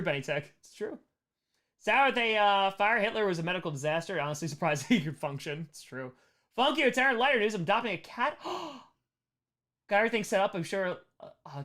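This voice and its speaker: An American man hosting a casual livestream Q&A, chatting in an animated way and repeating short phrases for emphasis. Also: high voice. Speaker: livestream host answering chat questions.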